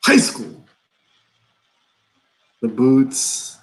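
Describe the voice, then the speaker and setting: A man in a live play reading, playing an awkward, nervous man who rambles through a confession in halting, over-explained dialogue. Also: medium pitch, wildly swooping pitch, exaggerated voice. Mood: earnest, flustered.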